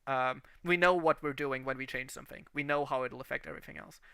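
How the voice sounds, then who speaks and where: A man from Portugal who learned English later talks through his writing plans. He rambles and restarts often. His voice sounds smooth, and the close mic keeps it dry. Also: mid-range voice, fast talker, no room sound. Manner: animated, rambling with many restarts and fillers. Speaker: series creator and writer. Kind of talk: casual livestream chat.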